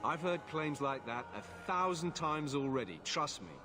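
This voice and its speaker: An actor playing a weary, blunt guard captain in a video game cutscene, speaking curtly and firmly. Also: male voice, a little high, expressive, normal speed, over music, lightly acted. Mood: stern. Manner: curt, firm.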